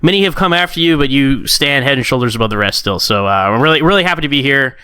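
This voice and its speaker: Male, early 30s, chatty fan-podcast guest. Bright, clean voice, close dry sound. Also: medium pitch, expressive, fast talker, smooth, dry recording. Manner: enthusiastic, chatty. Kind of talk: casual fan chat.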